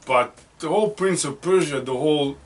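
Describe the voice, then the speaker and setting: A man's voice: a little high, normal speed. A man co-hosting a casual movie podcast, talking eagerly and often over his co-host. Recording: distant mic with faint noise.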